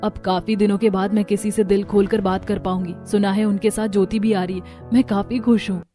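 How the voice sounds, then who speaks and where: A woman voicing a meek, lonely, mistreated young stepdaughter in an animated melodrama, with plaintive delivery. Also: mid-range voice, a little fast, faint music behind, lightly acted. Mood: hopeful. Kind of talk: Hindi animated family melodrama acting.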